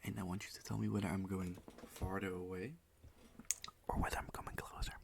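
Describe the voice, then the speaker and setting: Low gravelly guy doing asmr whispers, soft and reassuring, repeats stuff slowly. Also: level tone, background noise. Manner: repeats words and phrases slowly. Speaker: ASMR roleplay performer. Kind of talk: ASMR roleplay, music teacher.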